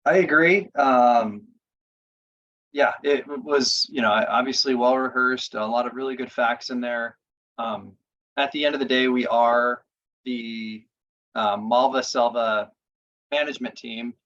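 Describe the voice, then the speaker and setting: Man, medium pitch, informal feedback, encouraging, colloquial, dull online-call audio. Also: fairly even intonation, measured pace, fairly bright tone. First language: English. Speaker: competition judge. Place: indoors, on a video call. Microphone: video call microphone.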